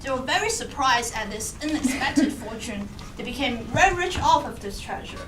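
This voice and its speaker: A woman's voice: sits high, animated, normal speed, a little hiss or hum. A woman narrating a comic puppet-show story to a live audience in a playful, theatrical way. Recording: echoey room, distant mic, loud music.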